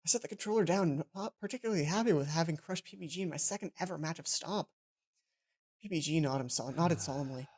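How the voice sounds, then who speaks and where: A woman's voice: low voice, quick pace, a little mellow, husky. A woman let's play host reading visual novel text aloud with casual asides, sometimes stumbling and correcting herself. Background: game music.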